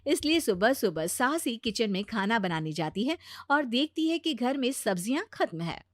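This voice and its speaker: A woman narrates a scripted story. Her voice is high and moves up and down in an animated way. The recording is very dry, with no room sound. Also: moderate pace, no room sound.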